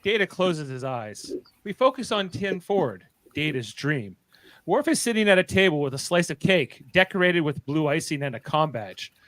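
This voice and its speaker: Higher-voiced male narrator, dry sound. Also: big pitch swings, moderate pace, fairly bright tone, no room sound. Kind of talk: reading stage directions with casual asides.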